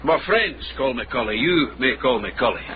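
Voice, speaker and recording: A man's voice: medium pitch, expressive, fairly quick, natural voice. A man acting a canny Scottish street guide in a radio drama, dry and knowing. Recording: dull, noisy radio drama recording.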